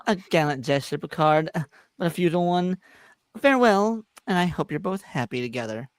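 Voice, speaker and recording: A woman's voice: fairly low pitch, animated, brisk, smooth, no echo. A woman reading the part of a grandiose, mocking, all-powerful trickster villain in a sci-fi script. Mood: smug, theatrical.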